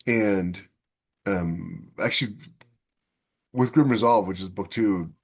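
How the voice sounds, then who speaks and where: A man in casual discussion as a podcast host, somewhat halting as he thinks aloud. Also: on the low side.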